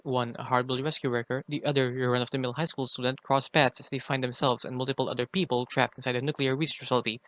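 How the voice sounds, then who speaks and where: A man's voice: medium pitch, sharp tone, no room sound. A man delivering a scripted review as a guest segment on a podcast.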